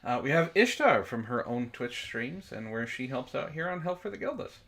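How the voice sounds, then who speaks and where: A man's voice: mid-range voice, very animated, moderate pace, creaky, a bit bright. A man hosting a book club livestream in casual chat, jokey and playfully theatrical when he announces things.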